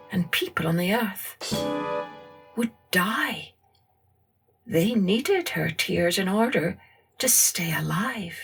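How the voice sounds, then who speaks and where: A woman narrating a scripted children's story in an expressive, dramatic storytelling manner. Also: lowish voice, creaky.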